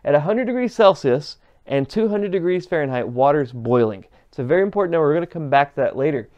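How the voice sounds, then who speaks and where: A man's voice: on the high side, animated, quick pace, darkish tone, muffled recording. A man in his forties giving a conversational, emphatic explanatory lesson as an instructor. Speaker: HVAC instructor. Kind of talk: whiteboard lesson, explanatory teaching. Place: small indoor room with whiteboard.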